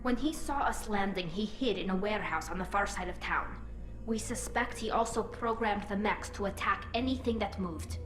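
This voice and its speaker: A woman's voice: mid-range voice, normal speed, natural voice. An actress playing an earnest but firm young female alien team leader in a video game. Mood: earnest, cooperative. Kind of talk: cinematic video game dialogue. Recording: echoey, music behind.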